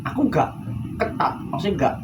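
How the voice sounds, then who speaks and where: A man's voice: on the high side, big pitch swings, fast talker, reverberant. A young adult man, explaining himself point by point in casual, colloquial conversation. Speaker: university student, counselling client. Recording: clear background noise, room ambience.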